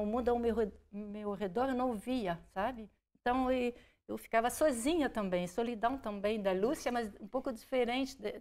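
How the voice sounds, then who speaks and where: Woman, kinda high voice, thinks out loud and restarts words a lot. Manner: searching, restarts words, frequent "sabe?". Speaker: literature scholar guest. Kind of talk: reflective interview answer.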